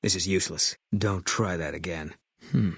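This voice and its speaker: A brooding sniper companion for a video game. His low, rough-edged voice is guarded and deadpan, giving short military lines. The recording is very dry and close. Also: moderate pace, no echo. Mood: terse, guarded.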